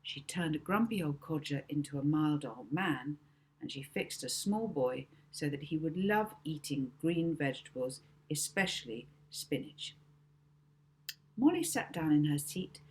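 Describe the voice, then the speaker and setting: A woman reading a children's novel aloud as expressive audiobook narration. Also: sits low, unhurried, a little hiss or hum.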